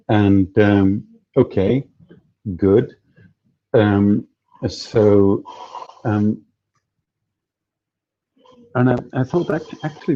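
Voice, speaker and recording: A man's voice: on the low side, gravelly, dark tone, breathy edge, lo-fi sound. A man organizing an event, talking casually on a video call, hesitant with many fillers and restarts. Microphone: headphones on a video call.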